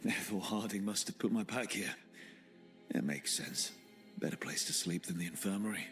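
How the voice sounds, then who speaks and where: An actor playing a reflective, earnest young male adventurer in a video game cutscene, musing aloud with quiet determination. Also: deep voice, normal speed, music in the background.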